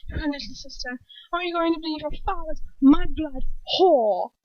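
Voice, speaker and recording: A woman voicing a cruel, manipulative witch aunt who swings between menacing shrieks and soft coaxing in an amateur storybook reading. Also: high-pitched, normal speed, dry recording, lo-fi sound, pitched above the performer's own voice, close to an everyday voice. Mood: quietly menacing. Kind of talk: amateur fiction read-aloud.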